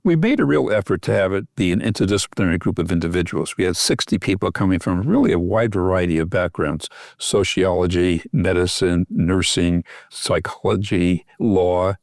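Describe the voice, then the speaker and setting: Low-pitched older American man explaining. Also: normal speed, darkish tone, no echo, clean studio sound. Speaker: expert guest, physician and academic. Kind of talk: interview answers, explanatory.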